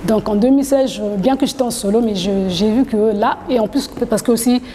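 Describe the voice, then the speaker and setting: A female singer chats casually in an interview, picking her phrases haltingly. Her voice is fairly high and warmly mellow. The recording has long reverb. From Congo-Brazzaville. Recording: reverberant hall, background noise.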